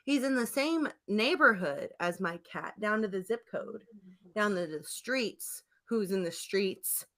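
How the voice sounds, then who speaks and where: A woman with a bright, lively voice that swings widely in pitch hosts a casual comedy podcast. She is playful and giggly, and plays things up with mock drama. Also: unhurried. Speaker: podcast host.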